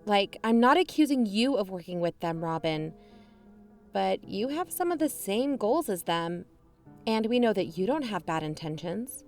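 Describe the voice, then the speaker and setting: A woman in scripted audio drama dialogue, conciliatory and pleading, her pitch rising when anxious. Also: very animated, normal speed. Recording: music bed underneath.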